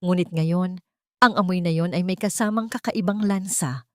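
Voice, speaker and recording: Low-voiced Filipino woman narrating, dry sound. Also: moderate pace, a bit bright, dry recording. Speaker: storyteller, narrator. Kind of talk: dramatic scripted audio story narration in Tagalog.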